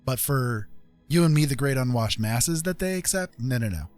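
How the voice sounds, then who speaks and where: A man's voice: mid-range voice, expressive, moderate pace, a little mellow, faint music behind. A man chatting casually on a livestream, explaining things conversationally and often checking in with the listener. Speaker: streamer and self-published author.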